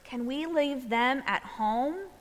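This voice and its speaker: A woman's voice: high-pitched, big pitch swings, a little slow, pushed and bright, exaggerated voice. A woman voicing an eager, curious little bear cub from a storybook, asking childlike questions in an echoey hall. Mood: excited. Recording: echoey hall, long reverb.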